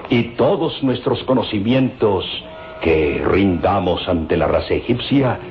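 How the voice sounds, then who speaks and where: An actor playing an elderly professor obsessed with ancient Egypt, speaking in a grandiloquent, emphatic radio drama style. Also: male voice, lowish voice, lively intonation, slow pace. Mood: awed, rapturous. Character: elderly male archaeology professor, passionate, awed, obsessive about Egypt. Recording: dull vintage radio recording with music.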